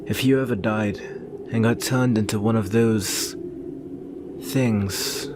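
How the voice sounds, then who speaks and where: A man playing a brooding, protective young northern warrior and devoted lover in an earnest, solemn ASMR roleplay with measured pauses. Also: lowish voice, steady tone. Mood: tender. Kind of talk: ASMR roleplay, intimate slow dramatic monologue. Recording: very noisy ambient background.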